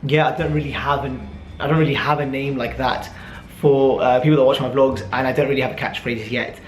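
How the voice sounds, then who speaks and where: A man's voice: medium pitch, fairly even intonation, a little fast, reverberant, a little hiss or hum. A young adult man with a British accent, chatting casually and informally as he answers viewer questions in a vlog. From born and raised in the UK, teenage years in Dubai. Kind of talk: casual Q&A chat to camera.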